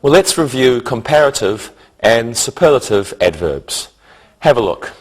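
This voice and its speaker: Slow-paced male English teacher. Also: mid-range voice, sing-song, faint music behind, low-quality recording. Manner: deliberate, instructional delivery. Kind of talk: scripted English lesson for beginners.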